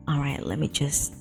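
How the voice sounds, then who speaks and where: A woman hosts a casual game stream with music playing behind her. Her voice sits a little low and moves at a very slow pace. She is giggly and quick to exclaim. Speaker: livestreamer host. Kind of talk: casual livestream chat while setting up and playing a visual novel. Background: background music.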